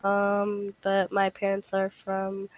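A young American woman in a casual chat, slangy and opinionated as she tells stories in an animated way. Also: mid-range voice, slow, no room sound. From United States. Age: young adult. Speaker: participant in a group call.

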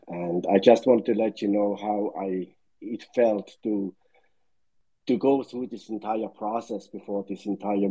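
Older Swiss-born caller, deep dark husky voice, rambling recollection packed with dates. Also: male voice, poor audio. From Switzerland. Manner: rambling, recites dates and figures. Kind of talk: casual market recollection.

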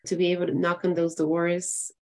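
A woman's voice: on the low side, monotone, moderate pace, darkish tone. A woman from Ecuador hosting a podcast conversation in an encouraging, affirming manner. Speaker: podcast host. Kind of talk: conversational interviewing.

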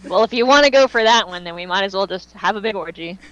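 A woman's voice: medium pitch, fast talker, a bit bright, lo-fi sound, a little hiss or hum. A woman hosting a podcast, chatting casually in an informal, slangy way. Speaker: podcast host.

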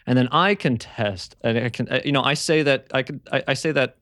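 A man's voice: mid-range voice, fairly quick, fairly bright tone, no echo, studio quality. A man hosting a casual podcast chat. He rambles and repeats words while he searches for what to say. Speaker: podcast host. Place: home in eastern Germany.

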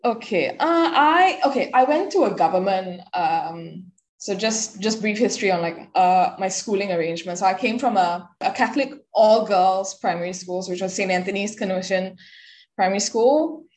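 A woman's voice: medium pitch, normal speed, a little mellow. Chatty Singaporean woman guest. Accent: Singaporean English. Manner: chatty, many "like" fillers. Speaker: podcast guest. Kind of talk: casual interview chat.

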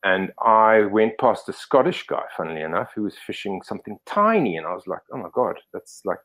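A man's voice: lowish voice, sing-song, fairly quick, a bit bright. A middle-aged man from Zimbabwe telling stories as a podcast guest, rambling with many fillers and restarts. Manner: rambling, self-correcting, lots of fillers. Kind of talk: casual storytelling interview.

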